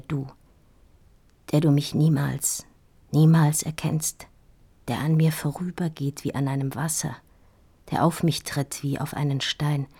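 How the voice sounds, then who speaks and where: An actress playing a heartbroken, dying woman in an intimate radio drama monologue, speaking in halting, feverish, anguished whispers with repeated words. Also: low-pitched, dark tone, breathy edge, exaggerated voice. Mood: anguished, forsaken. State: feverish, weak, grieving. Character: heartbroken dying woman writing a farewell love letter.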